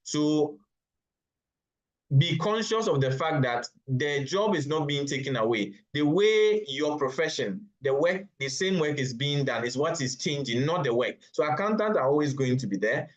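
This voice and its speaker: A man from Ghana with a Ghanaian English accent, answering as a webinar panelist in a measured, explanatory way with hesitant restarts. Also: a little high, animated. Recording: dull lo-fi call audio.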